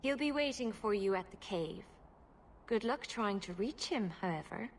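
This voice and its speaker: A woman playing a reserved, protective elf in a video game, speaking in a measured, pointed way. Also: medium pitch, animated, a little hiss or hum, natural voice. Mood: cool, guarded. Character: reserved, protective Dalish elf woman, guarded toward outsiders. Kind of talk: video game cutscene acting.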